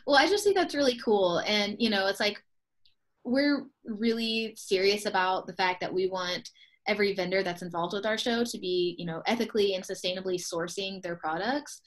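A woman's voice: mid-range voice, normal speed. Chatty upbeat woman on a video call. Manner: chatty, upbeat, laughs often. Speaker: host, expo co-owner. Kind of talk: casual video call interview.

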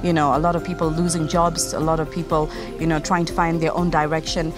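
A woman in her thirties from Kenya talks to camera in a garden, relaxed and chatty, laughing easily. Her voice sits a little low. Clear background music runs under her speech. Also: a little fast. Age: 30s. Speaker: company co-founder. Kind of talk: casual presentation to camera. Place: outdoors in a garden. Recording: music playing behind.

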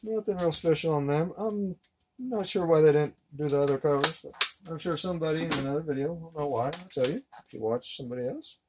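A man in his thirties giving a relaxed, rambling unboxing commentary while handling discs at his desk. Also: a little high, fairly quick. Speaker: collector unboxing a disc set. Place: collectibles room indoors. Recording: noisy home recording.

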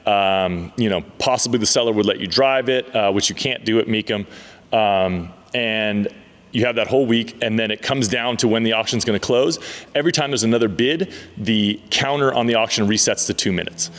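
A man in his thirties with a fairly low voice talks casually to the camera, relaxed and full of "um"s and "uh"s. He stands in a big indoor car garage, and the camera mic picks up a long, echoing reverb from the hall. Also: brisk. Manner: relaxed, conversational, many fillers. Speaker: car dealership owner and channel host.

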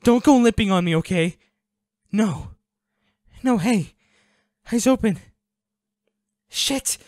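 A man playing a devoted, anguished boyfriend in an intimate audio roleplay, pleading and halting with emotion. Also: high-pitched, a little mellow, dry recording, studio quality. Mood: panicked, pleading. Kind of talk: intimate dramatic audio roleplay, one-sided dialogue.

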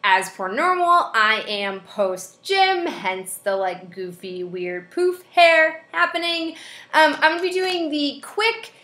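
Young female vlogger, congested head-cold voice, bright and a bit high, echoey small room. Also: expressive, takes it slowly. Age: young adult. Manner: chatty, friendly, with frequent fillers. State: stuffed-up nose from a head cold. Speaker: beauty vlogger. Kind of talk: casual product review. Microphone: webcam at desk distance.

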